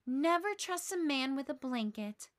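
A woman's voice: sits high, animated, measured pace. A woman voicing an indignant little girl from a storybook, scolding and pointed. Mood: weary.

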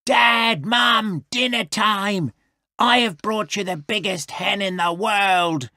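Woman acting a small young storybook wolf cub, male, polite, eager, creaky, bright edge, unhurried. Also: deep voice, lively intonation, no room sound, studio quality, pitched below the performer's own voice, full character voice. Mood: proud, calling out. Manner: polite, guileless, apologetic.

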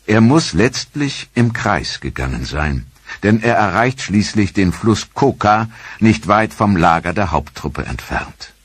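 A man with a deep, slightly husky voice narrates a history documentary from a script. His delivery is measured and even. The close studio recording is dry, with no room sound. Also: dry recording.

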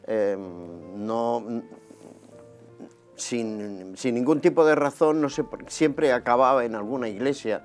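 A man in his fifties from Barcelona, a guest telling his life story in a relaxed, conversational way, recorded on a lapel mic in a small TV studio. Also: mid-range voice, takes it slowly, soft background music. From Barcelona, Spain. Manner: relaxed and conversational, gesturing as he talks.

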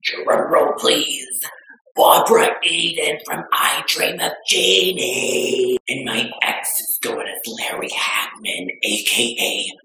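A man voicing a vain, bawdy aging beauty vlogger woman who claims to be twenty-two, with a breathy, whispery drawl and gossipy theatrical delivery. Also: medium pitch, animated, slow, bright, forceful tone, heavily acted. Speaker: makeup tutorial vlogger. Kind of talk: comedic makeup tutorial. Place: small decorated room indoors. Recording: echoey room.

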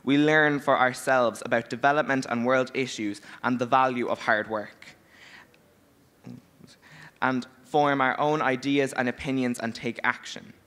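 A man's voice: medium pitch, fairly even intonation, unhurried, a bit bright. A sixteen-year-old Irish boy giving a formal, earnest prepared speech as a youth delegate on a conference panel. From Ireland. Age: teens. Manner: earnest, formal, well-paced delivery. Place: large conference hall stage. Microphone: handheld mic.

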